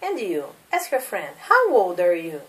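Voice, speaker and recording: A woman's voice: fairly low pitch, wildly swooping pitch, a little slow, fairly bright tone, recorded from a distance. A woman in her thirties from Brazil with a Brazilian Portuguese accent, teaching English as a second language and drilling simple questions for learners to repeat. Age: 30s. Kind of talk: slow classroom drilling of questions.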